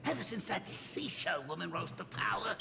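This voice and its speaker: A man voicing a small, slippery, excitable cartoon gang boss in a game, theatrical and squawky. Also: high voice, normal speed, vocal fry, background noise, full character voice. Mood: pushy, resentful. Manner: theatrical, wheedling, drawling hesitations. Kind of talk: animated game character acting. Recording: long reverb, music behind. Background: music.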